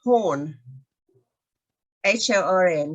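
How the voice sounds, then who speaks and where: A woman chatting casually on a video call, talking quickly with laughter between her phrases. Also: mid-range voice, lively intonation, muffled recording. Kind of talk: casual chat.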